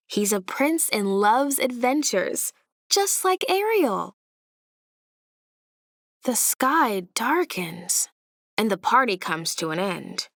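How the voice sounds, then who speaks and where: A woman reads a children's story aloud with a fairly high voice and lively, swooping intonation. She takes her time, placing dramatic emphasis as a storyteller would for young listeners. The sound is close and dry. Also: slow, vocal fry, long pauses, no echo. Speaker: storybook narrator.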